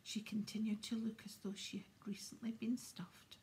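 A woman's voice: fairly high pitch, little pitch movement, moderate pace. Woman whispering a storybook. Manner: soft, unvoiced whisper. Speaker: audiobook reader.

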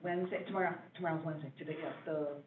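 A man giving a building report at a township board meeting, halting with many fillers and restarts. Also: a little high, steady tone, background noise. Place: meeting room. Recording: echoey room, far mic, phone-like band.

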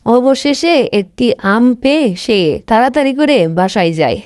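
A woman's voice: high voice, expressive, moderate pace, a little mellow, smooth, no echo, clean studio sound. A woman narrating a scripted cartoon tale as a storyteller.

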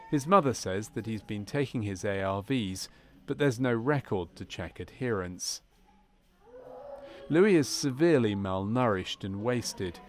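A man reading scripted narration for a medical training film in a measured, formal manner. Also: lowish voice.

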